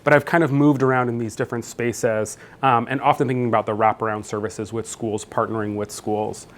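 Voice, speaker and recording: Man, 30s, keynote speaker, conversational, filler words, mid pitch. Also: flat delivery, fairly quick. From New Jersey, US. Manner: conversational, many fillers and restarts. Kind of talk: conference keynote talk. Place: conference room.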